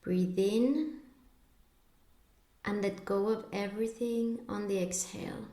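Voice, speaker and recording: A woman narrating a guided meditation in a measured, instructive way, pausing between short phrases. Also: mid-range voice, steady tone, warm tone, roomy sound.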